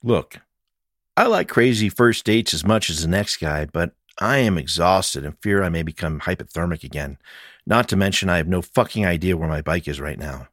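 A man's voice: deep voice, lively intonation, normal speed, fairly bright tone, dry recording. Male narrator as sardonic, tired amateur sleuth in a mystery audiobook, casual delivery, dry recording. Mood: wry, exhausted. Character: wry, weary male amateur investigator in a mystery.

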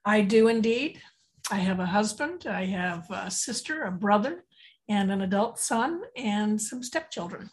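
A woman columnist introducing herself to a group, brisk and matter-of-fact. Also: mid-range voice, animated.

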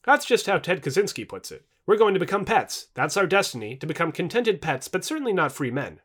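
Man acting old-time male rebel, wary, gloomy, distrustful of machines, animated pitch. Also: fairly quick, husky, exaggerated voice.

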